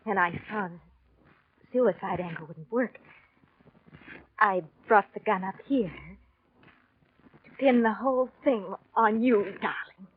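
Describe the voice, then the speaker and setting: Actress as theatrical 1940s femme fatale, noisy old recording. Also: female voice, mid-range voice, slow pace, long pauses, close to an everyday voice. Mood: weak, dying. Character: scheming, vengeful femme fatale, later mortally wounded. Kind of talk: 1940s radio drama acting. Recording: noisy, dull 1940s radio recording with clicks.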